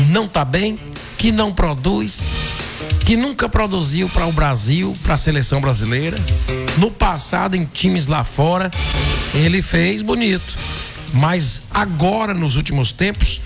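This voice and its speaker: A Brazilian man hosts an evening radio program in a hoarse, gravelly voice that sits a little high. He talks casually and opinionatedly, tossing in "né" again and again, and a music bed runs under his voice. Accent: Brazilian Portuguese. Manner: chatty, opinionated, says "né" often. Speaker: radio host. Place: radio studio.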